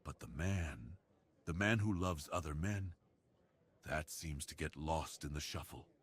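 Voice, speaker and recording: A man plays a brooding werewolf with an extremely deep, heavily breathy, husky voice. He speaks slowly and intimately, as a lonely beast confiding his longing in an ASMR roleplay. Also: full character voice. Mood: brooding, wistful.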